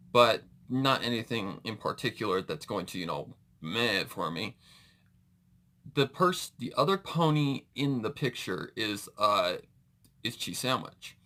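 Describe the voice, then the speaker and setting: A man's voice: medium pitch, bright, forceful tone. A man giving casual, off-the-cuff reaction commentary, rambling and hesitant, often correcting himself as he goes. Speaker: reaction video host.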